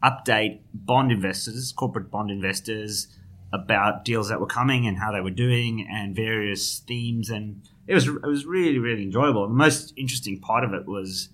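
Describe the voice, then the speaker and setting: A man with a South African accent and a bright, forceful voice, talking as an interview guest. He is middle-aged and talks in a hesitant, conversational way, full of fillers and restarts. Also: lowish voice, normal speed. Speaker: guest, finance journalist.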